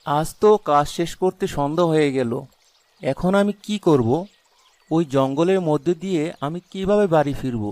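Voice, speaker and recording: A man's voice: fairly high pitch, normal speed, darkish tone, no room sound, lightly acted. An actor playing a frightened, polite young village man in a horror cartoon, pleading and worried. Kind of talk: cartoon horror story voice acting.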